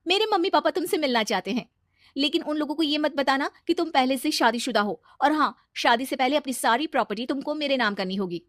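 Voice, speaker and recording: A woman voicing a greedy, coquettish young girlfriend with a coaxing, demanding manner in an animated story. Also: high-pitched, fairly quick, no echo, clean studio sound, pitched above the performer's own voice.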